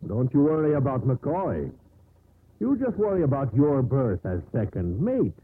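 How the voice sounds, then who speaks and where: Casting: hearty, scornful ship's officer, very animated mid-pitched voice, thin vintage radio sound. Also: male voice, a little slow, slightly rough, exaggerated voice. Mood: amused, dismissive. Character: scoffing, jovial sailing-ship first mate. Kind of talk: 1950s radio drama acting. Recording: muffled, hissy 1950s radio broadcast.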